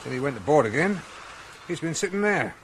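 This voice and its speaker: An actor playing a chatty, deferential local police sergeant in a radio drama, folksy and matter-of-fact. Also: male voice, fairly high pitch, sing-song, brisk, a little hiss or hum. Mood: watchful, reporting.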